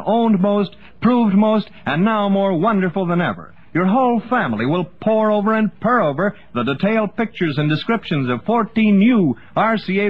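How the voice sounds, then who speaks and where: An American man doing polished, promotional announcements as a 1950s radio announcer. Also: high-pitched. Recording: dull 1950s radio recording.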